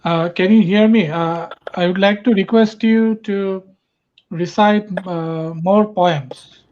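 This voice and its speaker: A man's voice: high-pitched, fairly even intonation, takes it slowly. A man from Bangladesh with a Bangladeshi accent, a native Bengali speaker, hesitantly moderating an online poetry event with many fillers. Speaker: festival moderator. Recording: dull lo-fi online call audio.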